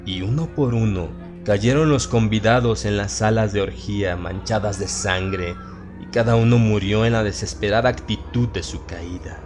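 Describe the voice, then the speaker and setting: A man's voice: fairly low pitch, normal speed. A man with a Latin American Spanish accent reads a horror audiobook in a solemn, theatrical, dramatized style. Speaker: audiobook narrator. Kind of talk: dramatized scripted horror narration. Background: background music.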